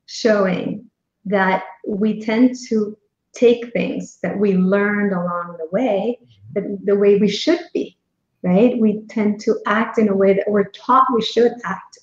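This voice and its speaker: A woman speaking coach, a guest in a casual interview, telling stories and murmuring agreement. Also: medium pitch. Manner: warm storytelling, frequent affirming murmurs. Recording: echoey, dull remote audio.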